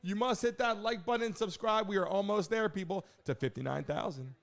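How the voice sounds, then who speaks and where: A man with a very high voice hosts a livestream, pushing his chat with urgent, slangy, sweary hype. The sound is very noisy, with game audio underneath. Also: brisk, gravelly. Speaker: live stream host.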